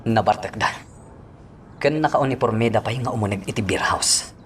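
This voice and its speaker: A man's voice: on the low side, moderate pace, a little hiss or hum, close to an everyday voice. A man playing a wary gunman who narrates his danger in a radio drama. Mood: wary, tense.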